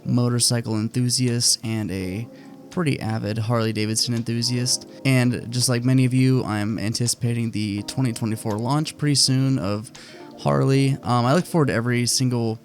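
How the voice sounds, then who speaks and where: A man getting over a cold, chatting casually and opinionatedly in a relaxed, rambling way full of fillers, hosting his own video. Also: medium pitch, normal speed, faint music behind. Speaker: motorcycle vlogger. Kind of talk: casual screen-share commentary.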